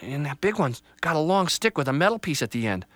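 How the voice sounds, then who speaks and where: A man's voice: on the high side, big pitch swings, fast talker, fairly bright tone, dry recording, voice pushed up. A man voicing a timid little girl tree. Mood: nervous. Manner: anxious, hopeful, wondering aloud. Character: timid young female sapling tree.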